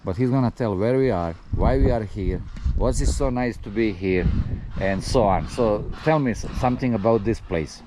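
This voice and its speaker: A man's voice: lowish voice, moderate pace, few pauses. A man speaking simple, non-native English as he casually interviews someone as a travel reporter. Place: outdoors at a bush camp. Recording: faint outdoor ambient noise.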